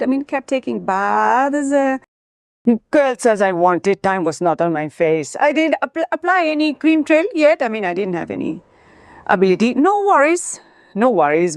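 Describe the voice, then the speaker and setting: Female interview guest, high voice, dry close sound. Emphatic personal storyteller who repeats phrases for weight. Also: no echo. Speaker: interview guest, former sprinter.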